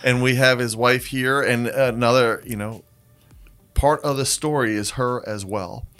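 A man's voice: medium pitch, steady tone, a little slow, fairly bright tone, clean tone. Rambling male interview host. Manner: rambling, many fillers and restarts. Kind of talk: casual interview.

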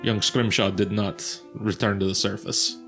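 Man, player in character, lowish voice, formal old-fashioned phrasing, faint music. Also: level tone, moderate pace, creaky, fairly bright tone. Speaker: player recapping the story. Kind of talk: in-character recap of a tabletop game.

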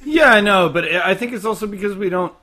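A man's voice: high voice, quick pace, bright, forceful tone. A young adult man co-hosting a casual podcast, rambling with many restarts and fillers.

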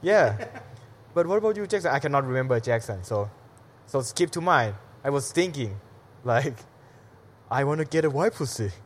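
A man's voice: medium pitch, lively intonation, moderate pace, slight background noise. Thai-accented man telling a rambling story. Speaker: comedian. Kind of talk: casual storytelling on a podcast.

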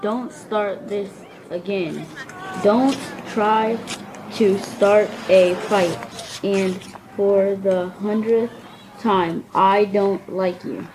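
A woman's voice: mid-range voice, steady tone, drawn-out words, a little mellow. A woman playing a kind but firmly unimpressed, plain-spoken schoolgirl in an amateur radio play, on a noisy recording. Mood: annoyed. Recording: clearly noisy background ambience.

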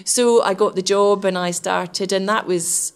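A middle-aged woman from the west of Scotland with a Scottish accent, telling stories in a relaxed, animated way as an interview guest. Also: medium pitch, a little slow, smooth. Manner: chatty, animated, laughs often. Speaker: interview guest, research scientist. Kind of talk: casual storytelling interview.

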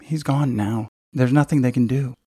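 A man's voice: medium pitch, steady tone, moderate pace, dark tone, slightly rough, dry recording, clean studio sound, close to an everyday voice. A man voicing a frightened, skittish mother in an audiobook, breathless and stammering as her words tumble out. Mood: tired, nervous. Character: frightened, frantic mother of a college student.

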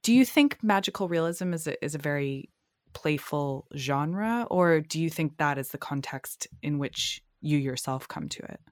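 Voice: medium pitch, expressive, moderate pace, no room sound. Curious interviewer, dry close sound. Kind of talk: interview questions.

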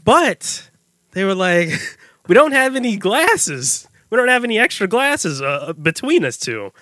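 A man telling a comedic story casually among friends, in an animated, slangy, giggly way. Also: high voice, measured pace, dry recording. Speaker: podcast host telling a story.

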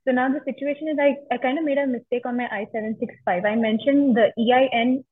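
A woman's voice: high-pitched, fairly even intonation, quick pace, slightly rough. A young woman calling for advice, carefully and politely explaining her case with some hesitation. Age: young adult. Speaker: caller seeking legal advice. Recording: muffled, phone-like line.

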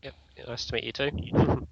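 A man's voice: a little slow, no room sound, dull audio. A man from Adelaide with an Australian accent, an easygoing podcast co-host who laughs often. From Adelaide, South Australia.

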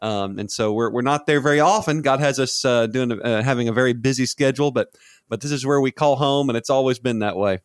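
A man's voice: medium pitch, a little fast, clear, resonant tone, dry recording, clean studio sound. A man in his twenties with a Texan accent, chatting casually and politely as a folksy interview guest. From Texas, United States. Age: 20s. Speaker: interview guest, gospel singer.

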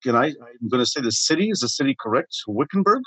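A man hosting a conversational podcast, introducing his guest in a halting, deliberate way. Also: medium pitch, big pitch swings, a bit bright, husky, no echo. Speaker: podcast host.

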